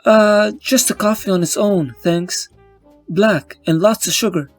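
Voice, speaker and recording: Man acting a high-voiced, weary young superhero. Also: measured pace, soft background music. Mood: tired, casual. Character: young, weary, wisecracking male superhero narrating his troubles. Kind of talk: scripted comic-book narration and dialogue.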